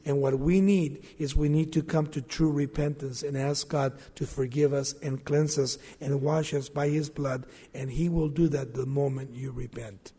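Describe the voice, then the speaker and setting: Male pastor, sermon, husky, questioning the congregation, long church reverb. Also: medium pitch, fairly even intonation, moderate pace, hardly any pauses, warm tone. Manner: preaching with rising emphasis and questions to the congregation. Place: church sanctuary.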